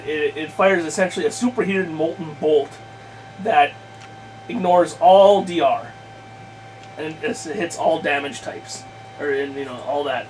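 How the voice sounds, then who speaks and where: A man's voice: on the high side, poor audio. A man in a casual chat about tabletop games, rambling and thinking aloud as he circles back mid-sentence. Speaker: podcast guest planning a tabletop campaign. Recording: clear background noise and hum.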